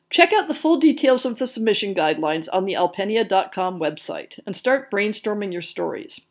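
A woman hosting a podcast, reading scripted announcements in an articulate, measured way with emphatic stresses. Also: on the high side, a little mellow. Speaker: podcast host.

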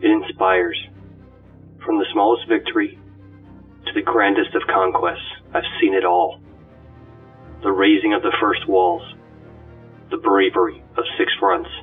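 Ancient, awestruck ghost, acted by a man: deep-leaning, unhurried, solemnly oratorical, heard thin and far off with music behind. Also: creaky, full character voice. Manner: reverent, measured, oratorical. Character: awed, ancient ghost companion reflecting proudly on humanity. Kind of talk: dramatic scripted monologue. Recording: distant, phone-like audio under music.

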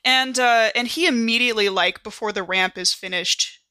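A woman's voice: fairly high pitch, normal speed, pushed and bright, no echo. A woman speaking casually and enthusiastically as a player in a tabletop role-playing game, improvising narration in an informal, chatty way.

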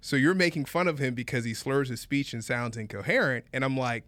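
Animated man, dry close sound. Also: medium pitch, fairly quick, dry recording. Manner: animated, repeats himself, laughs often. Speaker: podcast co-host. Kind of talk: casual chat.

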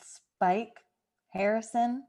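A woman's voice: on the high side, fairly even intonation, drawn-out words, mellow. A woman playing a dry, sardonic young college student who babysits, in a comedic radio play. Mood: dry, skeptical.

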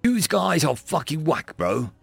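A man's voice: on the high side, sing-song, vocal fry, breathy edge, no room sound, soft background music, voice pushed up, full character voice. A man voicing a brash, slangy young replacement Marine who gripes scornfully, in an audiobook story. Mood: scornful griping. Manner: slangy, drawn-out griping with bro talk.

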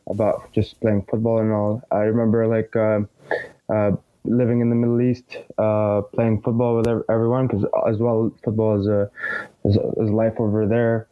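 Relaxed young man, mellow voice. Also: on the low side, normal speed, poor audio. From Egypt. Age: 20s. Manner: relaxed, laughs often, many fillers. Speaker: rugby player guest. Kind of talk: casual podcast interview.

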